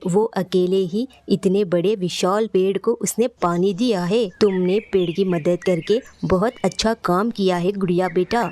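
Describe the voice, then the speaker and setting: A woman's voice: mid-range voice, a little mellow, close to an everyday voice. A woman playing a proud, hard-working father sparrow in a children's cartoon, earnest and fatherly, with faint noise in the recording. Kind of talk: Hindi children's cartoon story acting.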